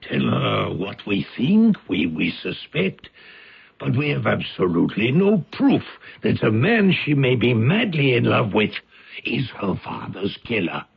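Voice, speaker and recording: A dull old radio recording holds a troubled, cautious man. His voice is very husky and gravelly, with animated pitch and deliberate emphasis. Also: full character voice. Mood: troubled, firm. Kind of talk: radio drama acting.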